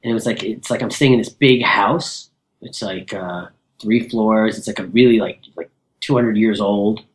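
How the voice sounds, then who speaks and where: A man's voice: on the low side, moderate pace. A man co-hosting a podcast over a remote call, chatty and rambling with many fillers. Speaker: podcast co-host. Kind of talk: casual podcast chat.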